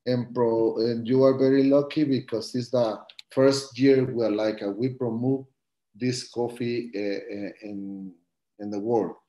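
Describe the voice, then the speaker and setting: Slow-spoken man farmer, roomy call audio. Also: mid-range voice, fairly even intonation, warm tone. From Guatemala. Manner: halting non-native English, many fillers. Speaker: coffee farmer. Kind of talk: live-stream interview answers. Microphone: video call.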